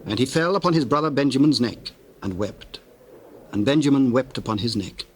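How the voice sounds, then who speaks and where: Solemn mid-pitched male scripture narrator. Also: a little hiss or hum. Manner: measured, solemn, formal.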